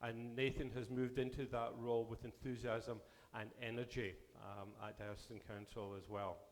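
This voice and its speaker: Bishop addressing a synod, voice going. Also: male voice, mid-range voice, flat delivery, normal speed. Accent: Canadian. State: losing his voice. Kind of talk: formal address to a church assembly. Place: church assembly hall.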